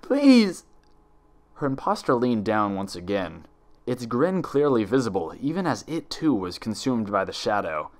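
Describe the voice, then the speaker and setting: A man reading dark fan fiction aloud as dramatic, scripted horror narration. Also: lowish voice, very animated, moderate pace.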